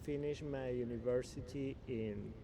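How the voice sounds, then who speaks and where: A Venezuelan man in his thirties, speaking English with a Spanish accent, muses openly about his life in a relaxed interview. His pitch sits in an ordinary middle range, and he laughs easily and stops to hunt for words. Steady background noise runs under the recording. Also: a little slow, vocal fry. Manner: reflective, searching for English words, laughs often. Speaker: guest. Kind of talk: casual conversational interview.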